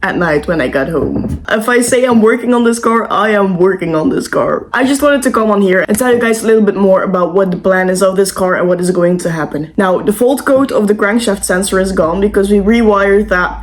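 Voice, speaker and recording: A woman's voice: medium pitch, a little fast, few pauses. Dutch-accented woman explaining car repair. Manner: earnest step-by-step explaining. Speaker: car vlogger. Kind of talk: casual explanatory vlog.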